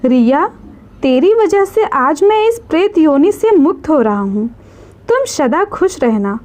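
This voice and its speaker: A woman's voice: sits high, big pitch swings, measured pace, a little mellow. A woman playing a solemn male ghost, vengeful yet grateful, in a dramatic story. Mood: grateful blessing.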